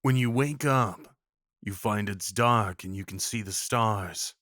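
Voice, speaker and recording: A man's voice: lowish voice, takes it slowly, fairly bright tone, no room sound. A man reading scripted second-person story narration for an audio roleplay, recorded close.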